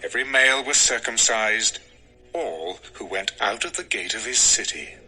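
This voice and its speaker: A man's voice: on the low side, lively intonation, normal speed, sharp tone, a little hiss or hum, poor audio. A man narrating a dramatized scripture story from a script.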